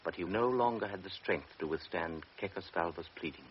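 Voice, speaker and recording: Deep, grave male radio narrator. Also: slight background noise. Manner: measured, grave. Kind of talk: scripted radio drama narration. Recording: dull lo-fi radio broadcast with music.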